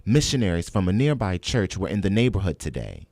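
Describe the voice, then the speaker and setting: A man's voice: low-pitched, big pitch swings, a little fast, darkish tone, a little hiss or hum. An American man narrating scripted television news reports as a reporter.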